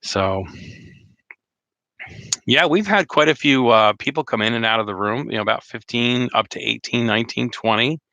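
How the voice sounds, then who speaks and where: A slightly hoarse man chatting casually on a live stream, rambling with many fillers and restarts. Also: medium pitch, normal speed, sharp tone, dry recording, muffled recording. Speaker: RV vlogger hosting a live chat.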